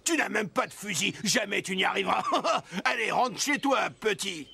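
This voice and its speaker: An actor playing a mocking, bossy, greedy hunter in a children's cartoon, with sneering sing-song jeers and a gravelly voice. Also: male voice, sits high, moderate pace, exaggerated voice. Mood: scornful. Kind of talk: French children's cartoon acting. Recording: loud music behind the voice.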